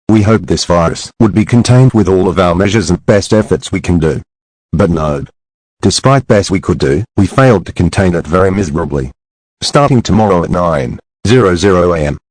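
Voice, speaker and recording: A man voicing a grave, formal emergency broadcast announcer reading a scripted warning in a solemn, measured way. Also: sits low, no room sound, rough recording. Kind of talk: scripted emergency alert narration.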